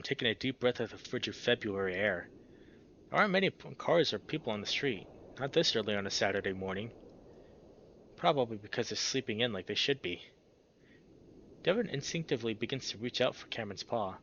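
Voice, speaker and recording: A man's voice: mid-range voice, a little hiss or hum. A man reading a visual novel aloud as a let's play host, narrating dramatically and breaking into exclamations. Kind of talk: expressive story narration with excited reactions. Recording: dull lo-fi sound, music behind. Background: game music.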